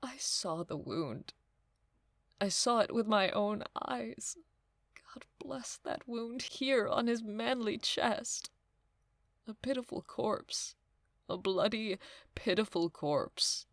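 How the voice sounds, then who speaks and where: Woman doing an overwrought older servant nurse, slow breathy lamenting, audio drama style, dry sound. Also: medium pitch, no echo. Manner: lamenting, breathy, repeating herself. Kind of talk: audio drama acting of modernized Shakespeare.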